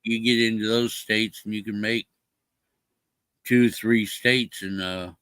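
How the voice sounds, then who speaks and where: A man's voice: on the low side, steady tone, slow pace, fairly bright tone, smooth, no room sound. An older man chatting casually on a livestream, rambling with many fillers and restarts. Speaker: livestream co-host. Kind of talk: casual chat.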